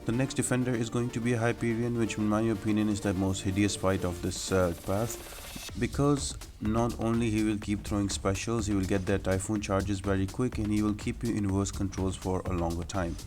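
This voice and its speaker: A man's voice: on the low side, steady tone, moderate pace, a little mellow, soft background music. A man giving game walkthrough commentary in an explanatory, matter-of-fact manner, as the host of a guide.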